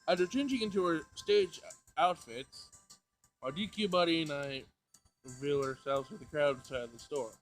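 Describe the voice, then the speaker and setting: A man's voice: a little high, moderate pace. A man reading a visual novel aloud as narrator, sometimes stumbling over the words and rereading them. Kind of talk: dramatic read-aloud with character voices. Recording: music behind the voice. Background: music.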